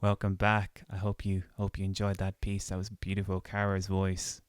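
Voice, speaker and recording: A man with an Irish accent hosting a podcast in casual chat, relaxed and often repeating his words. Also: sits low, moderate pace, clean tone, dry recording, clean studio sound. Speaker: podcast host.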